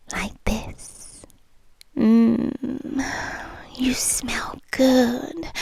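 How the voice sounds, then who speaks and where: British woman acting as a teasing yandere bartender girlfriend for ASMR roleplay; high, very slow, breathy and whispery, close-miked. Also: darkish tone, no room sound, exaggerated voice. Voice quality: breathy, often dropping into whisper. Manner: slow, teasing, lingering pauses. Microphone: close-up ASMR microphone.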